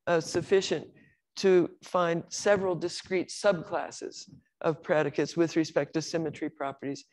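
Older woman, academic lecturer, measured, explanatory, occasional restarts. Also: fairly low pitch, fairly even intonation, darkish tone, low-quality recording.

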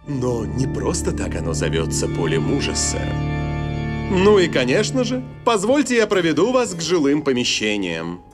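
An actor voicing a theatrical male coach who shows guests around a gloomy castle, speaking slowly and with flourish. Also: mid-range voice, huge pitch swings, over loud music. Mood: showy welcome.

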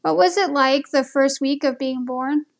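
High-pitched woman chatting casually. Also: unhurried. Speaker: video host. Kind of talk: casual conversation with an AI companion on a phone call.

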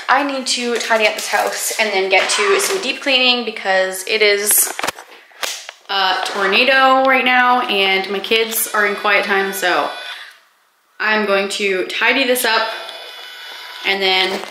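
A young woman talks to a handheld camera in a chatty, excited home vlog, with light laughter slipping into her sentences. The room is echoey, and clear household noise runs behind her. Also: mid-range voice, a little slow. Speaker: vlogger. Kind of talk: casual vlog talk to camera. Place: home living room and kitchen, indoors. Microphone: handheld vlogging camera at arm's length.

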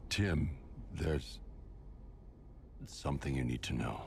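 A brooding masked hero in a game drama. The voice is extremely low and gravelly, slow and tightly controlled, with music clearly behind it. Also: male voice, darkish tone, exaggerated voice. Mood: grave, guarded. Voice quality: very deep, gravelly. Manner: terse, controlled. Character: grim, stoic, brooding masked vigilante hero. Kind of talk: video game drama acting.

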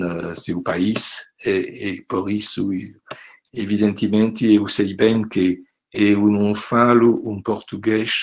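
Slow, halting older Frenchman on a video call. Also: fairly low pitch, vocal fry. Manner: halting, many fillers and tag questions. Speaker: visiting professor. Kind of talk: closing remarks of an online lecture. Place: home room indoors. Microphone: laptop webcam on a video call.

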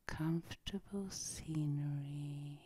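A woman hypnotherapist leads a sleep session in a low, breathy half-whisper. Her delivery is slow and lulling, in a soft ASMR style meant to soothe. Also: fairly even intonation, no echo. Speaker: hypnotherapist, channel host.

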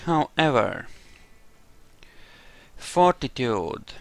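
A man with a clear, smooth, mid-pitched voice talks through a video game. He muses aloud casually, recorded dry and close. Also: expressive, drawn-out words, no room sound. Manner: musing, thinking aloud. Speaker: gamer playing a role-play playthrough. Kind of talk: casual gameplay commentary.

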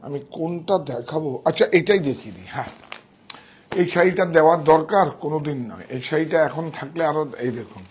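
A man's voice: a little high, animated, fast talker, breathy edge. A man, giving a casual, emphatic sales demonstration as a shop owner, often repeating himself, on a clip-on mic indoors. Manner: emphatic, repetitive. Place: indoor saree shop showroom. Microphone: wireless clip-on mic on chest.

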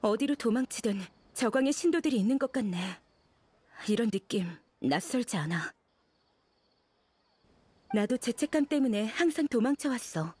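A woman's voice: fairly high pitch, lively intonation, moderate pace, long pauses, a little mellow, slightly rough. A woman playing an anxious, guilt-ridden scholar who speaks in a guarded, urgent way in a game drama. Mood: resigned.

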